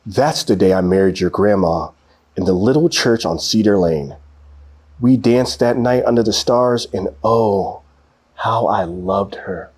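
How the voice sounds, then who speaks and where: A man voicing a gentle, nostalgic old grandfather in a storybook read-aloud. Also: lowish voice, unhurried, slightly rough. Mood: tender.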